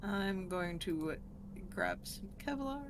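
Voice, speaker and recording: A woman's voice: on the low side, animated, slow pace, creaky. A woman chatting casually as a player in a tabletop game, with slight background noise.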